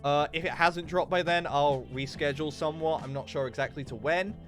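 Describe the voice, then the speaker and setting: A man's voice: fairly high pitch, fairly even intonation, brisk. Rambling young man, game music behind. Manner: rambling, loose, with many fillers and restarts. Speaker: streamer. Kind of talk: casual livestream chat while gaming.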